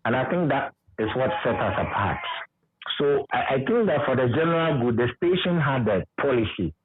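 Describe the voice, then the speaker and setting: A man's voice: mid-range voice, lively intonation, moderate pace, breathy edge. A Ghanaian man, a radio presenter, reminiscing in conversation and repeating his points for emphasis. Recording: muffled, narrow phone-like line.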